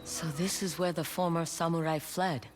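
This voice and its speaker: Actor as higher-pitched, plain-spoken wandering warrior. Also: male voice, steady tone, normal speed, over loud music, lightly acted. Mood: respectful, resolute. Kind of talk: video game cutscene dialogue.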